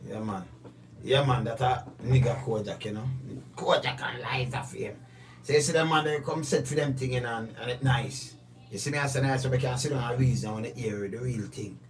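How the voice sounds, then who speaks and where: A middle-aged Jamaican man hosting a casual interview in patois, animated and repeating his phrases. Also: mid-range voice, brisk. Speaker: interviewer, host. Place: small foam-padded home studio. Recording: off-mic, slightly distant.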